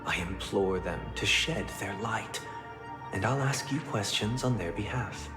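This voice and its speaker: Stern, immaculate game inquisitor, played by a male actor: lowish, formal, measured, icily courteous, heavy echo. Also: close to an everyday voice. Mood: solemn, commanding. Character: stern, immaculate, devout male authority interrogating a suspect. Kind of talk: dramatic video game voice acting. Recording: echoey hall reverb with music behind.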